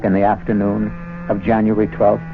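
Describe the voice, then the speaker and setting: A man's voice: lowish voice, monotone, normal speed, slight background noise. A man hosting a radio mystery drama, giving wry, theatrical scripted narration. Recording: tinny old radio broadcast with faint music.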